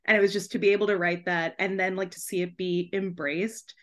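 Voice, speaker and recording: A woman's voice: fairly low pitch, fairly even intonation, brisk. A woman chatting casually and effusively as an interview guest on a podcast, in a giggly, informal way. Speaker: guest author and podcast host.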